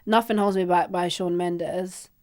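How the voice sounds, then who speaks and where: A woman's voice: on the low side, fairly even intonation, unhurried, a little mellow. A woman co-hosting a podcast, recapping in an informal, opinionated way. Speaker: podcast co-host. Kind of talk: casual chat.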